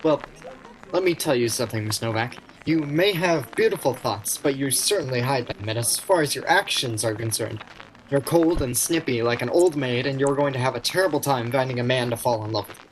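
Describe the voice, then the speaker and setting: A man's voice: mid-range voice, fairly quick, a little hiss or hum, lightly acted, poor audio. A man playing an awkward, prickly shop clerk in a vintage-style radio romantic comedy, speaking in a hesitant, wry way. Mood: stung, prickly. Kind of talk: vintage radio play acting.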